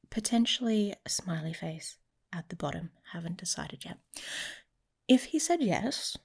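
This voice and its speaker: An actress voices a devious, emotionally guarded young she-wolf in an intimate audio roleplay. Her delivery is unhurried and casual, with a wry edge. The voice is husky and breathy, full of soft creak, and often slips into a whisper. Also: mid-range voice. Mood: mischievous, scheming. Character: guarded, teasing young female werewolf, devious and prickly. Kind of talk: intimate ASMR roleplay acting.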